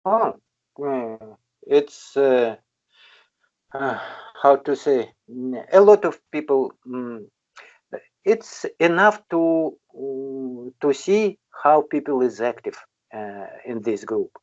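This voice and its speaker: Slow, halting older man. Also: mid-range voice, expressive, a little mellow, no room sound. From Kalmykia, Russia. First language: Kalmyk. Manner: halting, with many long hesitations. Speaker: English learner in an online conversation group. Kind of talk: casual chat. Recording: muffled, dry online call.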